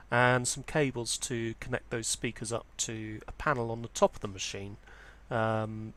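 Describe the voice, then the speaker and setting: A man's voice: lowish voice, a bit bright. Man giving an informal hobbyist walkthrough. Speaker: presenter of a vintage audio hobby channel. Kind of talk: informal product walkthrough, unscripted.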